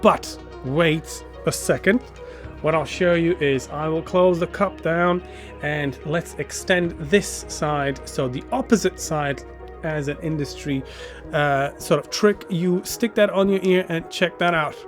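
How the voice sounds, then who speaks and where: A man giving a casual, unscripted gear review to camera, enthusiastic but halting, often repeating words and phrases. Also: on the high side, soft background music. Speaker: product reviewer.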